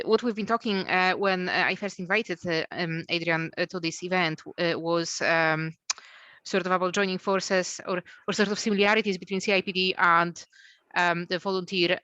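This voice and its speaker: A woman organising a discussion on a video call, halting and full of fillers. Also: fairly low pitch, pushed and bright, no room sound. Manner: halting, many fillers and restarts. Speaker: event organiser. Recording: dull lo-fi call audio.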